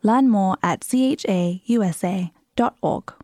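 A woman reading a sponsor announcement in a soft, smooth way. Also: medium pitch, unhurried. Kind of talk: read sponsor message.

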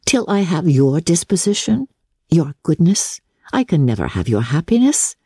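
A woman voicing a wry, affectionate, playful young Regency gentlewoman with a teasing, witty manner in an audiobook. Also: sits low, sing-song, normal speed, slightly rough, dry recording, studio quality, voice pushed up, exaggerated voice.

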